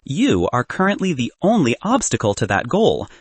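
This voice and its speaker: A man voicing an exasperated female professional bridesmaid with a firm, businesslike, sharp-tongued manner in an audiobook. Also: medium pitch, big pitch swings, normal speed, husky, no room sound. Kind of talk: audiobook character dialogue.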